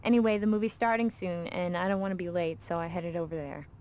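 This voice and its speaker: A woman's voice: lowish voice, steady tone, fast, darkish tone, a little hiss or hum, natural voice. An actress playing a casual, slightly impatient young girlfriend in a video game. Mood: mildly impatient.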